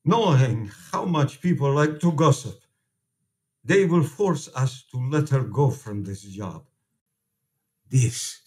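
A kindhearted polish priest in a radio drama, formal, earnest, stands his ground, mid pitched male voice. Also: darkish tone. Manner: earnest, pleading, formal phrasing. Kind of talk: radio play acting.

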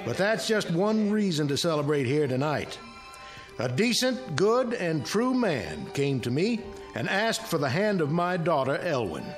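A man's voice: a little high, big pitch swings, unhurried, faint music behind. An actor playing a proud farmer father giving a hearty welcome speech. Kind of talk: radio drama acting.

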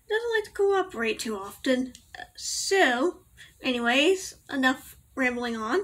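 A young woman in a casual home vlog, rambling and giggling as she corrects herself, her voice a little raspy from allergies. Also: high-pitched, animated, measured pace, fairly bright tone. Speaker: vlogger. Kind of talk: casual product review to camera. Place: small bedroom indoors.

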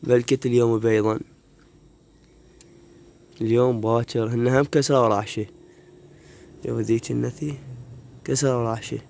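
A hoarse man with an Iraqi Arabic accent, chatting casually to viewers while filming his rooftop pigeon loft on a phone. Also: mid-range voice, level tone, normal speed, long pauses, a little mellow. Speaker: pigeon keeper vlogger. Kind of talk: casual vlog. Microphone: phone camera held while walking.

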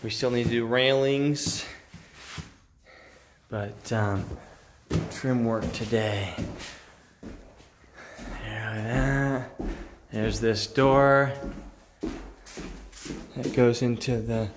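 An American man in his forties gives an informal walkthrough on a handheld phone, sounding enthusiastic and admiring. He takes his time between phrases. His voice rings in a bare, echoey room with clear background noise. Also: mid-range voice, fairly even intonation, drawn-out words, long pauses. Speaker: vlogger giving a workshop tour. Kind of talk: casual handheld video tour. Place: mostly inside an empty unfinished workshop building. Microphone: phone at arm's length.

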